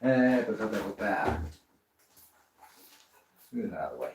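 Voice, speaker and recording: Deep-voiced chatty Welsh-born man in a workshop. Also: very animated, a little slow, a little mellow, slight background noise. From Wales. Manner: chatty, rambling, self-deprecating. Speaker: woodturner hosting a live stream. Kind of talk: live workshop demonstration chat. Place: woodworking workshop. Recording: echoey workshop, distant mic.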